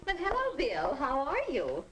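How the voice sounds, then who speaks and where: A gracious 1950s mother at a teenage party. She speaks slowly and courteously, her pitch swooping widely, on a faintly noisy old film soundtrack. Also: wildly swooping pitch, fairly bright tone, exaggerated voice. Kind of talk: 1950s educational film acting.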